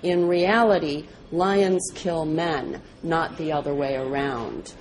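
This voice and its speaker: Woman as a slow, proud storybook lion. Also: low-pitched, a little mellow, rough recording. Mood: challenging. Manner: boastful, deliberate. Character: proud, boastful royal lion in a fable. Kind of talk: storybook read-aloud.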